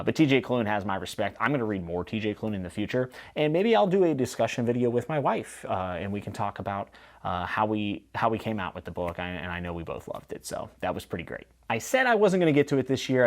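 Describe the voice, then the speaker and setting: A man's voice: lowish voice, lively intonation, quick pace. A man hosting a casual book review vlog, talking in an informal, enthusiastic and emphatic way. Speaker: book review channel host. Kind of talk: casual monthly book wrap-up to camera.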